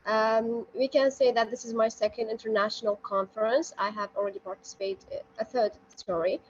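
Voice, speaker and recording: Young woman from Iraq, lecturer, slightly high voice, hesitant ums, dull call audio with faint noise. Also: steady tone, brisk. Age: young adult. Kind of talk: self-introduction on a video call. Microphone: video call.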